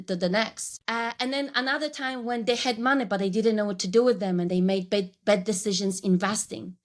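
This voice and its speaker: A woman in her forties with a Polish accent, a guest on a podcast interview, telling her career story reflectively, with frequent restarts. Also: mid-range voice. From Poland. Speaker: guest, branding coach.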